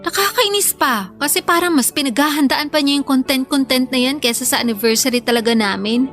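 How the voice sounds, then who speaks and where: A woman's voice: sits high, brisk, a bit bright, faint music behind. A woman with a Filipino accent playing a frustrated, doubtful young working girlfriend in a radio drama, sighing and sulky.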